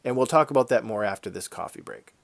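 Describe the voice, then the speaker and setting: Man with a stuffy, slightly raspy voice. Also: mid-range voice, quick pace, no echo. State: congested from a head cold, slightly raspy. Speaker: YouTube art channel host. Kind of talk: casual conversational vlog narration.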